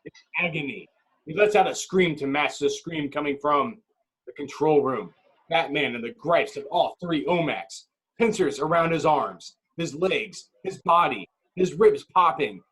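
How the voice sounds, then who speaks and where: A man's voice: a little high, lively intonation, a little slow, fairly bright tone, rough recording. Male narrator, intense dramatic script reading. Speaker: narrator reading stage directions.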